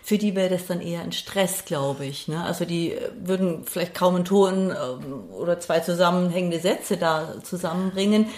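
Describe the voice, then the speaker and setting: A woman's voice: fairly low pitch, moderate pace, few pauses, darkish tone. Woman, middle-aged, reflective interview guest, hesitant, conversational, echoey room. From Passau, Bavaria, Germany. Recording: roomy, echoey sound.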